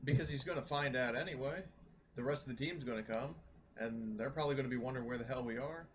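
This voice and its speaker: A man's voice: medium pitch, fast talker, slight background noise. A blunt, plain-spoken man chatting casually as a player in a tabletop game.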